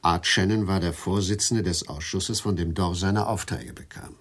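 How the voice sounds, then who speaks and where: An actor playing a sober, cautioning chief prosecutor in a hard-boiled radio drama, speaking in a measured, official manner. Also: male voice, deep voice, steady tone, fairly bright tone, breathy edge. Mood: serious, cautioning.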